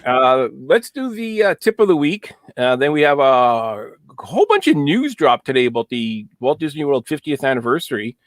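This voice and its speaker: A man's voice: mid-range voice, moderate pace, few pauses, dry recording, clean studio sound. Laid-back joking male host. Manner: relaxed, joking, many fillers. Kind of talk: casual live-stream chat.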